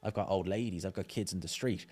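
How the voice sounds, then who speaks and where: Male guest, 30s, low voice, emphatic studio chat. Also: quick pace, a little mellow, husky. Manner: emphatic, mimics people he talks about. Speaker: podcast guest. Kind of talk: casual boxing chat. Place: studio set with dark curtains. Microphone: desk mic on boom arm.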